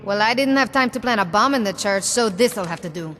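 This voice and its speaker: A woman acting out a hard, mocking female gang boss from a video game cutscene. Her medium-pitched voice is sardonic and sure of itself, full of swearing. Faint ambient noise sits underneath. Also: lively intonation, a little fast, fairly bright tone, close to an everyday voice. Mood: cocky defiance. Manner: foul-mouthed, sardonic, cocky.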